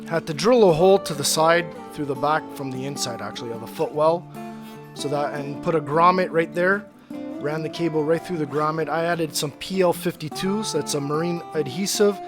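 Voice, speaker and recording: Hobbyist man, casual how-to narration, rambling with fillers, voice on the higher side. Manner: relaxed, rambling, many filler restarts. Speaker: vlogger installing a CB radio. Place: parking garage beside his truck.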